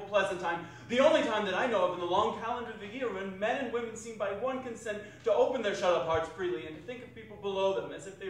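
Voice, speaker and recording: A cheerful, warm-hearted nephew, played by a man, speaking high and hearty. His delivery is earnest and theatrical, for a live stage reading. He is heard at a distance in a strongly echoing hall. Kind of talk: dramatic stage reading of a classic story. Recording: distant, very echoey, noisy hall recording.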